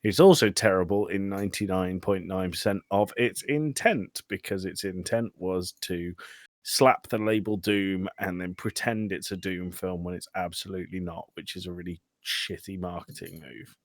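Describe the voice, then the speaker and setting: A man's voice: low voice, normal speed, no echo. A man hosting a casual film podcast, with a dry, sarcastic way of talking. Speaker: podcast host steering the discussion.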